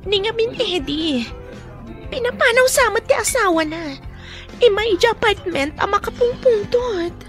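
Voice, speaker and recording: A woman's voice: extremely high pitch, expressive, moderate pace, fairly bright tone. A woman with a Filipino accent playing a scheming, confiding mistress in an Ilocano radio drama. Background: music.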